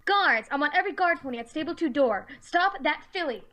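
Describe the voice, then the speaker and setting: A woman's voice: high voice, expressive, brisk, sharp tone. A woman playing an imperious pony Overmare, stern ruler of an underground vault, in a dramatic fan audiobook. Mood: alarmed, commanding. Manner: authoritative, imperious.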